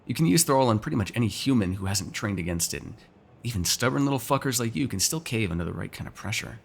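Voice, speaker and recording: A man's voice: fairly low pitch, lively intonation, fast, gravelly, husky, heavily acted. A man playing a sardonic, teasing, foul-mouthed vampire in an ASMR roleplay, talking casually to one listener. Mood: explaining, sardonic. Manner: casual, teasing, sarcastic, swears freely.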